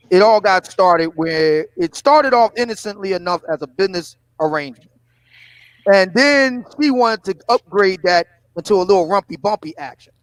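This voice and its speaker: A man's voice: high voice, dry recording, rough recording. A man in his fifties with a Philadelphia accent, chatting casually and asking leading questions as a panel host. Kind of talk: casual livestream chat.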